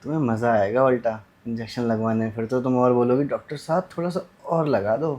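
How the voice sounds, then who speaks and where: An actor playing a sleazy young man who poses as a doctor, talking smooth and suggestive in a short film. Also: medium pitch, brisk, darkish tone, natural voice. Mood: coaxing.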